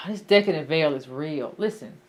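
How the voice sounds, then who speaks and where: Blunt emphatic woman with a lively pitch. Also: a little slow. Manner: blunt, emphatic, repeats points. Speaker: call-in show host. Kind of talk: casual call-in talk.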